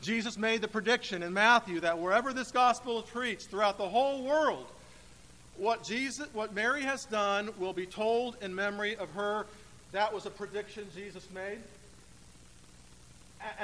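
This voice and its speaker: A man preaching a sermon in a church, speaking emphatically and deliberately with dramatic pauses. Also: high voice, bright, forceful tone. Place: church sanctuary, indoors. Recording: echoey church hall with faint noise.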